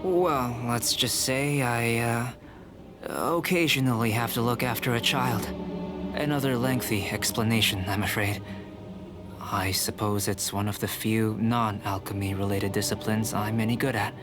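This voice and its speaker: A composed young alchemist from a video game, played by a man questions people with clinical curiosity. His mid-pitched voice stays even and polite, his phrasing scholarly and unhurried. Game music is clearly heard behind him. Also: close to an everyday voice.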